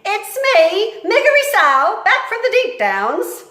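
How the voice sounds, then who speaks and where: Loud dim serving girl, shrill and coarse, as a woman reads her, roomy sound. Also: wildly swooping pitch, takes it slowly, heavily acted. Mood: excited. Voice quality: shrill, rough country speech.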